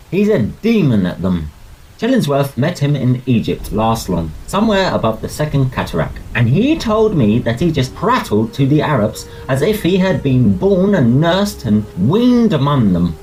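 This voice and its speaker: A man's voice: mid-range voice, huge pitch swings, a little slow, a little mellow, a little hiss or hum, rough recording. A man playing a hearty, gossipy young student oarsman in a Victorian audio drama, speaking emphatically in clipped British English. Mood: confiding, disdainful. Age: young adult.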